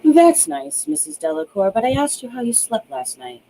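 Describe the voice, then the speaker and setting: A woman's voice: high-pitched, big pitch swings, moderate pace, gravelly, mellow, a little hiss or hum, pitched above the performer's own voice, full character voice, low-quality recording. A woman voicing a composed, professional woman psychiatrist in a storybook reading, with a clinical, questioning manner. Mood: clinical, puzzled.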